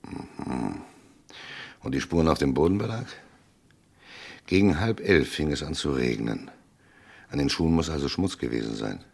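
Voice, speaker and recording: An actor playing a shrewd, gruff police chief in a German radio crime drama, speaking tersely and deliberately with a gravelly, husky voice. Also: male voice, a bass voice, animated, darkish tone, exaggerated voice. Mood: brisk, attentive.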